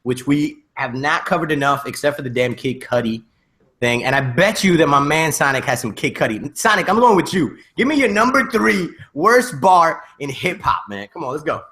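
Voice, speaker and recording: A man hosting a casual podcast with friends, bantering excitably and emphatically in profane slang. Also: on the high side, moderate pace. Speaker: podcast host.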